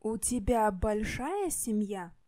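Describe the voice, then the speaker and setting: A native Russian-speaking woman teacher reading clear practice questions to learners. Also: mid-range voice, animated, slow pace, warm tone. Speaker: language teacher. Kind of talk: scripted lesson questions.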